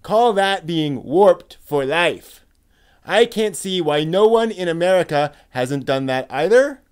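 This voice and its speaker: A man's voice: on the high side, lively intonation, takes it slowly, clean tone. A man voicing a wistful anime fan sharing memories, in a dramatic reading of an online post. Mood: nostalgic.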